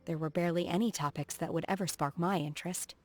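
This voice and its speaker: Actress as young female game character, lowish pitch, reserved, earnest, formal measured phrasing, socially awkward, background music. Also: fairly even intonation, a little mellow, dry recording, lightly acted. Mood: reflective. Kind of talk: video game character voice acting.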